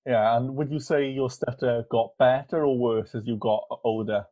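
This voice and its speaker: A man hosting a podcast interview, asking calm follow-up questions. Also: medium pitch, moderate pace, no room sound, lo-fi sound. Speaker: podcast host.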